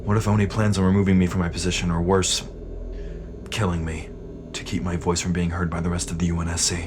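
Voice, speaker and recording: A man's voice: deep voice, little pitch movement, fast, lightly acted. A man playing a weary, defiant sergeant under interrogation in a sci-fi audio drama, blunt and embittered. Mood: wary. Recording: noisy ambient background.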